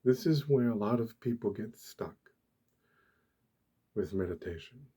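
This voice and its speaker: Slow, soft-spoken, husky man. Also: fairly low pitch, expressive. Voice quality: soft, hushed. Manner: gentle, deliberate, instructional, with long pauses. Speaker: ASMR host, meditation guide. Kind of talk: soft-spoken ASMR guided meditation.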